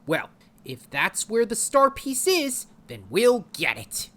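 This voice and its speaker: A woman's voice: a little high, big pitch swings, slow. A woman voicing a plucky, adventurous male animal hero in an audio drama. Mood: determined. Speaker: lead actor and story narrator. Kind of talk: audio drama acting and narration.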